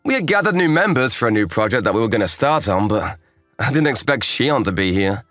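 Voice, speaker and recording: A man acts as an easygoing, kindly young male office worker in a manga dub. His casual delivery swings widely in pitch as he moves between narration and dialogue. Faint music plays behind him. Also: lively intonation, a little fast, a bit bright, dry recording, close to an everyday voice. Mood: wry narration. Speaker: narrator and lead character.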